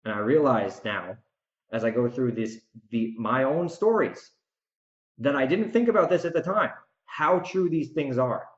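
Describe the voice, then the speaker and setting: A man's voice: mid-range voice, animated, a little slow. Emphatic male podcaster in a roomy space. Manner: emphatic, preachy, repeats phrases for stress. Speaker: podcast host and author. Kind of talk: rambling solo podcast commentary. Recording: roomy echo, slightly dull lo-fi sound.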